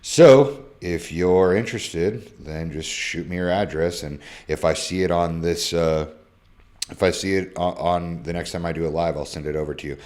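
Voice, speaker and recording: Low-voiced slangy man in his thirties. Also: moderate pace, a little mellow. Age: 30s. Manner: loose, slangy, swears freely. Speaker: social media creator. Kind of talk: casual live stream, reading DMs aloud.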